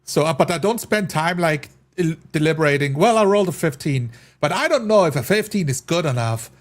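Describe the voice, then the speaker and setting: A man's voice: on the high side, lively intonation, a little fast. A man in casual discussion of tabletop game rules, giving opinions and reasoning through examples.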